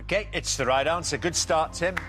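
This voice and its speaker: A man's voice: fairly high pitch, over loud music. A man hosting a TV quiz show, reading out questions and bantering lightly with contestants. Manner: brisk, reads questions clearly, light banter.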